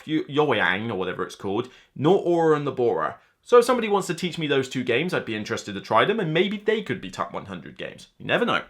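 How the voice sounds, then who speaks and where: Male vlog host, 30s, bright mid-pitched voice, unscripted and chatty, self-deprecating, rambling delivery. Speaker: board game reviewer and video host. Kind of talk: casual unscripted vlog commentary to camera. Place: small room lined with board game shelves, indoors.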